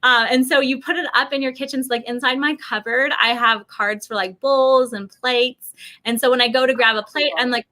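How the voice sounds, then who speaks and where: An American woman in her thirties giving a casual, chatty product pitch in an interview, acting out little quotes as she goes. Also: normal speed. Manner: animated, uses mimicked quotes and frequent "like". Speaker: company representative presenting a product.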